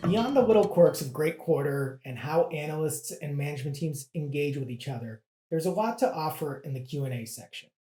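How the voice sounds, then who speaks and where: A presenter with a soft, mellow tone explains things step by step in a measured, plain-spoken manner. The pitch sits in a middle range. The sound is noticeably roomy, with echo around the voice. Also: lively intonation. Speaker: presenter of an investing guide. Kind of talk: explanatory educational narration.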